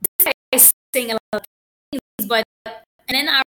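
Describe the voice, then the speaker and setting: A woman's voice: fairly high pitch, moderate pace, sharp tone, slight background noise. A woman from Haiti talking casually about her personal journey, rambling with many fillers and restarts.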